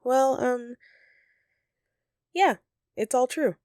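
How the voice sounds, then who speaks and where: A woman's voice: sits high, extremely slow, darkish tone, no echo, clean studio sound. A woman playing a flustered, friendly Christmas elf secretly living as a roommate, speaking hesitantly and earnestly in an audio roleplay to the listener. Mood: grateful, affectionate. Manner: hesitant, sheepish, conversational and earnest.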